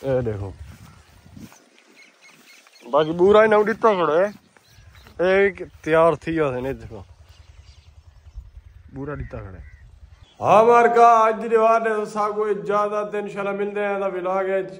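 A man's voice: sits high, expressive, unhurried, pauses often, no room sound. A young man in his twenties with a Saraiki accent, hosting a casual village vlog and chatting straight to the camera. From Pakistan. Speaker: vlogger host. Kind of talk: casual village vlog, explaining date palm pollination. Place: outdoors in a village farm among date palms.

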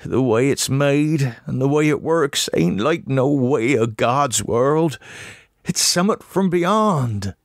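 A frightened old farmer , as a man reads him in a horror audiobook. He speaks in folksy rural dialect, hushed and urgent. The voice sits raised in pitch, recorded very dry. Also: animated, takes it slowly, dry recording. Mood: fearful, urgent. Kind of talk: audiobook character voice.

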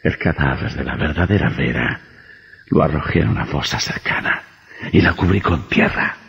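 Gloating male vampire, low gravelly voice, theatrical menace, old radio horror. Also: a bit bright, exaggerated voice. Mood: cold, confessing. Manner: menacing, gloating, slow and theatrical, with sinister laughter. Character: gloating centuries-old male vampire posing as village doctor. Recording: dull old radio recording, long reverb.

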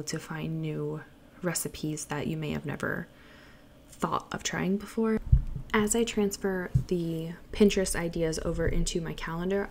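Young woman vlogger, lowish voice, chatty and casual, light background hiss, home kitchen. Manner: chatty, listing items quickly with fillers. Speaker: lifestyle vlogger. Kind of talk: casual voice-over walkthrough.

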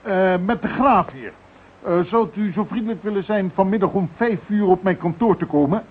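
A man plays a dutiful police commissioner in a radio play. The voice is fairly high for a male, measured and authoritative. The recording is very narrow and thin, with faint noise. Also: close to an everyday voice. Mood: businesslike. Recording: thin band-limited radio drama recording, slight noise.

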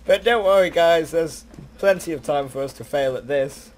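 A young man giving casual, excitable, rambling and self-deprecating commentary while playing a video game. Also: a little high, normal speed. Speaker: gaming video host. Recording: noisy game audio behind the voice.

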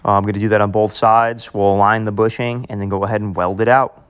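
A young man, a welder, calmly explaining each step of his repair work. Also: on the low side, fast, smooth. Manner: calm, matter-of-fact explaining. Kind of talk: voice-over explaining welding work.

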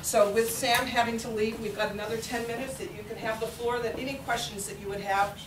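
Loud-voiced woman presenter in echoey room. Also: on the high side, steady tone, a little fast. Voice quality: loud projecting voice. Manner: earnest, warm advice with stammered restarts. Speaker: workshop presenter. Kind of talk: business etiquette seminar talk. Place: small meeting room with audience. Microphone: distant camera mic. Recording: echoey room from far off, background hum.

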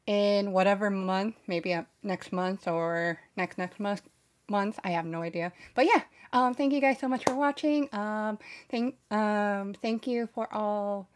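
A young adult woman hosting a reaction video in casual chat, recorded in a small home streaming room. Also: medium pitch.

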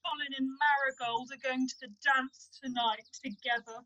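A lovesick young British woman from a radio pantomime, played by an actress. Her voice is very high, and she speaks with a sulky, dramatic manner. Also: steady tone, moderate pace, pushed and bright, lo-fi sound.

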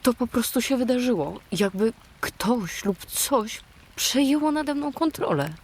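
A woman playing a troubled, fearful young draenei in a fantasy audio drama, speaking in a hushed, hesitant way. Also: fairly high pitch, expressive, unhurried, breathy edge, full character voice. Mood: anxious, confessing.

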